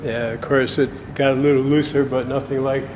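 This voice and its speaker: A man in his seventies introducing himself at a meeting in a relaxed, plain-spoken way. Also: medium pitch, fairly quick, slight background noise. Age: 70s. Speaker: marketing consultant and photographer. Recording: echoey room with long reverb.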